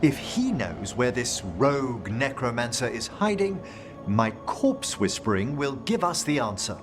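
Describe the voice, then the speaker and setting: A gentle, passionate scholar of necromancy in a fantasy game. His speech is formal and precise, with a richly animated melody. Music plays behind him. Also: mid-range voice, measured pace. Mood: grave, measured. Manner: formal, eloquent, precise diction. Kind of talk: video game cutscene acting.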